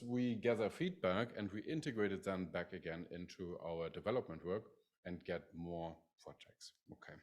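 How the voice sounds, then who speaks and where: Low-voiced German man presenting. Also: a little mellow. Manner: many "like" fillers, restarts. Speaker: professor presenting his lab. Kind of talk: conference presentation.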